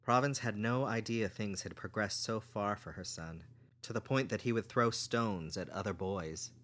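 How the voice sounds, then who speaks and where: A man's voice: fairly low pitch, fairly bright tone. A man reading fiction aloud as a scripted story narrator. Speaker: audiobook narrator.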